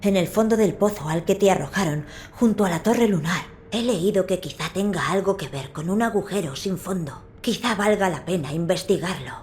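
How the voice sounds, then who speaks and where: A woman voicing a gentle young noble boy in a video game, calm and measured, with a Castilian Spanish accent. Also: lowish voice, a little hiss or hum, lightly acted. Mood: thoughtful.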